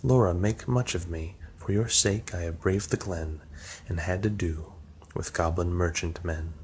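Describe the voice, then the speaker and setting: A man reading the lines of a brave, devoted young woman in a storybook poem, softly and gently. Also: low-pitched, steady tone, unhurried, slight background noise. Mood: pleading. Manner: softly read quoted speech.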